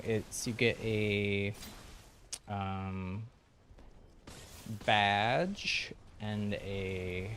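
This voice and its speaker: A man's voice: lowish voice, fairly bright tone. A man giving casual, self-deprecating live commentary as he streams a video game. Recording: clearly noisy game audio behind voice. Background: video game sounds.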